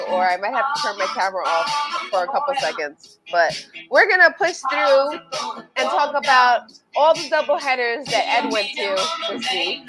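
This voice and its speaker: A woman's voice: fairly high pitch, poor audio. Congested woman co-hosting, music behind. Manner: chatty, with many fillers. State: congested, recovering from illness. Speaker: podcast co-host. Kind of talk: casual sports chat. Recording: music playing loudly behind.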